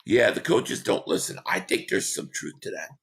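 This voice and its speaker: A man hosting a sports talk livestream, hoarse and losing his voice, reading chat comments and giving rambling, opinionated takes. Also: mid-range voice, huge pitch swings, normal speed, fairly bright tone. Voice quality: hoarse, gravelly. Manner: rambling, opinionated, repeats himself.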